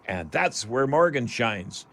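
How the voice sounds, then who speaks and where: A man's voice: medium pitch, very animated, slow pace, fairly bright tone. A man hosting a radio call-in show in a folksy, rambling way, addressing listeners as friends. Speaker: host of a home improvement radio call-in show.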